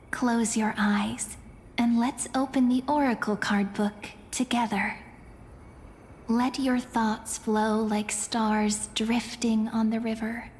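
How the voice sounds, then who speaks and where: Slow, gentle young fortune-teller. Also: female voice, fairly high pitch, slight background noise. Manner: soft, lyrical, unhurried. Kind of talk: video game voice acting. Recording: long reverb, music underneath.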